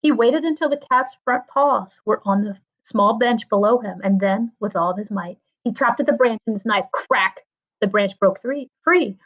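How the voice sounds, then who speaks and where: A woman, a school librarian, reading a children's story aloud with expressive storytelling. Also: fairly high pitch, moderate pace, highs cut off.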